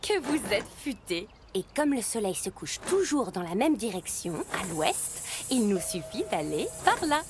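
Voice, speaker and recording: Friendly female scientist-explorer, children's cartoon. Animated, encouraging guide with wide-swinging intonation. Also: mid-range voice, a little slow, very noisy. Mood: thoughtful, encouraging.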